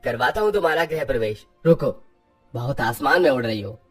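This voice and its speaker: A man's voice: medium pitch, expressive, brisk, husky. Casting: man playing a drunken, domineering young cartoon husband, harsh and scornful, music underneath. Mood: angry, sneering. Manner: harsh, scolding, contemptuous.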